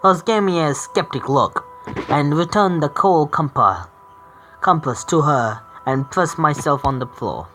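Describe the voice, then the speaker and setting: A man streaming a visual novel game, reading the narration aloud haltingly, stumbling over words and correcting himself. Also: fairly high pitch, expressive, bright, forceful tone, faint music behind.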